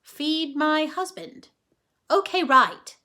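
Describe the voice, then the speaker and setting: A woman's voice: high-pitched, expressive, takes it slowly, bright, forceful tone, exaggerated voice. A woman voicing a bewildered, skeptical neighbor woman in a children's storybook, blunt and incredulous. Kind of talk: children's storybook read-aloud.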